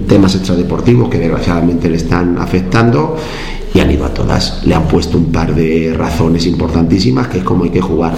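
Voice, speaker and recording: A man's voice: low-pitched, gravelly, darkish tone, roomy sound, slight background noise. A man from La Mancha in Spain with a Spanish La Mancha accent, a football coach giving a post-match interview, hesitant and often repeating his words.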